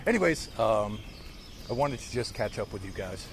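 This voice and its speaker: A man's voice: lowish voice, very animated, moderate pace. A man with an American accent chatting casually and ramblingly to viewers on a livestream as he walks outdoors with a phone at arm's length. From United States. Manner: rambling, talks directly to viewers. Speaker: live streamer. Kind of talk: casual walking livestream chat. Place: outdoors, walking along streets to a park. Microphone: phone held at arm's length. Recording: noisy outdoor phone livestream, insect-like ambience.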